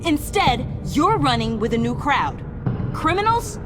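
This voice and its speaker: A woman's voice: sits high, very animated, a little slow. An actress playing a hurt, earnest young woman in a video game drama, speaking with open emotion. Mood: hurt, accusing. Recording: music behind the voice.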